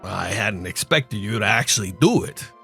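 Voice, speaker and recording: A man playing a measured, dryly formal and menacing crime boss in an old-time detective radio drama. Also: on the low side, animated, creaky, bright, forceful tone, faint music behind, heavily acted. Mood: cool, businesslike.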